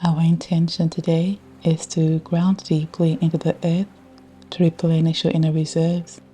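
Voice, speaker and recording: A woman guiding a scripted yoga nidra meditation in a soothing, measured way with long pauses. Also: deep voice, flat delivery, warm tone, husky. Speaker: meditation guide. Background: ambient music with ocean sounds.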